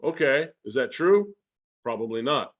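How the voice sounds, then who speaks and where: A man's voice: mid-range voice, normal speed, dull audio. Informal man explaining things conversationally. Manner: animated, acts out imagined dialogue. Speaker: guest speaker and science educator. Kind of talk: casual explanatory Q&A talk.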